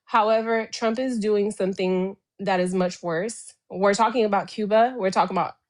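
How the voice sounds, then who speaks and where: A Haitian woman, a guest in a political debate, speaking slowly and deliberately with firm emphasis. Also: mid-range voice, steady tone.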